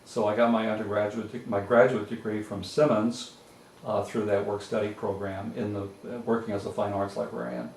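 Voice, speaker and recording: An older man from western Massachusetts, a native French speaker, answering interview questions in a measured, reflective way with many pauses. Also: fairly low pitch, vocal fry, darkish tone. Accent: mix of French Canadian and American. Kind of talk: oral history interview. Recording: roomy audio from a distance with faint hiss.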